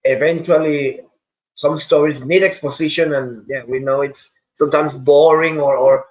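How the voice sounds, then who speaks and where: A man's voice: on the high side, fairly even intonation, normal speed, echoey room, highs cut off. A man asking questions in a webinar, stammering and repeating words.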